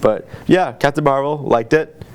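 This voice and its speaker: A man co-hosting a podcast, chatting casually about TV in a halting, rambling way. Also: mid-range voice, darkish tone, clear, resonant tone. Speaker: podcast co-host. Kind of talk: casual chat about TV shows.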